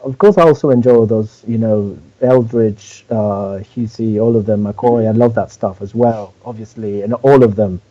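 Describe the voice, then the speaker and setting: A man from Spain chats as a musician guest, searching for words and restarting his sentences. His voice is mellow and dark, and it comes through a dull, muffled line. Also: on the low side, normal speed, hardly any pauses. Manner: hesitant, many fillers and restarts. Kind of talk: casual interview chat.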